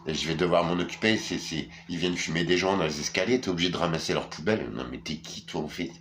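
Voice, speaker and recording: A man's voice: low-pitched, fast talker, a bit bright, a little hiss or hum. A tired middle-aged man from France, ranting casually to camera, crude and rambling with many fillers and restarts. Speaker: vlogger.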